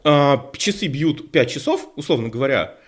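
A man's voice: a little high, expressive, moderate pace, slightly rough. A man co-hosting an online show, chatting casually and rambling with many fillers and restarts over a call microphone. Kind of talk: casual panel discussion.

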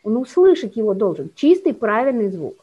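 A woman with a somewhat high voice explains things at length and in a measured way, as a guest educator talking about teaching speech sounds. The recording is dull and lo-fi. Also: big pitch swings, warm tone, husky. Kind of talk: explanatory conversation.

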